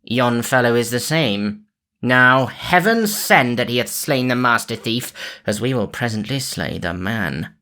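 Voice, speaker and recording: A man's voice: medium pitch, expressive, a little slow, sharp tone. A man voicing a scheming, gloating sheriff who raises his voice to bark orders. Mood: commanding.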